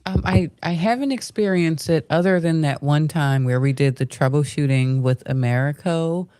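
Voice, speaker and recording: A woman's voice: deep voice, normal speed, warm tone, no room sound. A woman speaking casually and conversationally as a guest panelist on a live audio show.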